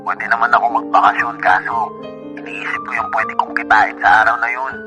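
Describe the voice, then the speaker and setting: Actor as a caring Filipino boyfriend, music behind. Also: male voice, mid-range voice, fairly even intonation, fairly quick, close to an everyday voice. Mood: caring, reassuring. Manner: reassuring, considerate. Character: caring overseas-worker boyfriend, steady, reassuring, responsible. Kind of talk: Filipino radio drama acting. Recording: studio drama over clear background music.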